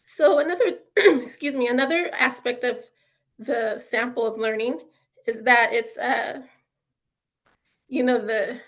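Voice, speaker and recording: A woman's voice: on the high side, measured pace, long pauses. A woman giving a casual webinar talk as an author and educator, chatty and full of fillers, upbeat about children's learning. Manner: chatty, lots of fillers like "you know" and "um".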